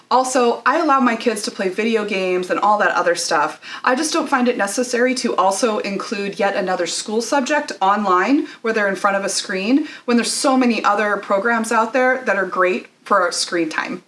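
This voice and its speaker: Woman, mid-pitched voice, homeschooling mom. Casual testimonial read, conversational and explanatory. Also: fairly bright tone. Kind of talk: casual direct-to-camera product review.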